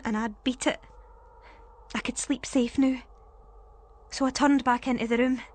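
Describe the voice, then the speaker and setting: A woman playing a frightened but resolute narrator, telling a first-person ghost story in a suspenseful, deliberate way. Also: a little high. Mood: relieved, then dread.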